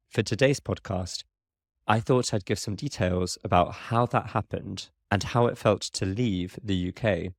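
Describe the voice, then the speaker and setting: A young adult man with a southern English accent, an English teacher hosting a learner podcast in a clear, measured, explanatory way. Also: deep voice, no echo, studio quality. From England. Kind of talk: scripted podcast narration for learners.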